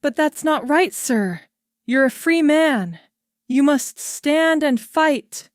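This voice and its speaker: A woman's voice: high voice, lively intonation, a little slow, no echo, studio quality, voice pushed up. A woman playing a pleading old statesman. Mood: urgent. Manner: urgent, pleading. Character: loyal, urgent older male statesman friend.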